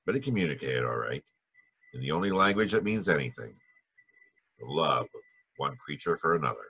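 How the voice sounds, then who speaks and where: An actor playing a hardboiled, wisecracking American private detective in a 1940s-style radio noir. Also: male voice, extremely low pitch, big pitch swings, fairly quick, bright, forceful tone, husky, heavily acted. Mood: wry narration.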